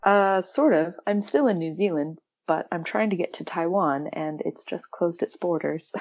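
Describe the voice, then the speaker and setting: A woman with a fairly low voice speaks as an interview guest on a podcast. Her talk is casual and conversational, and the sound is dry, with no room echo. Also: normal speed. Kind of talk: casual chat.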